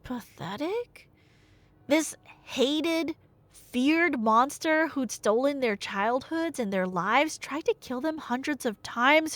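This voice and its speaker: Female storyteller, high voice, unhurried delivery, expressive and wry, for a casual dramatic myth retelling. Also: no echo. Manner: expressive, conversational, wry asides.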